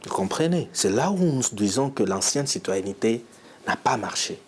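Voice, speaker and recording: A man from the Democratic Republic of the Congo, a provincial education official, speaking emphatically in measured phrases with rhetorical questions in a field interview. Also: medium pitch, expressive, a little mellow, husky.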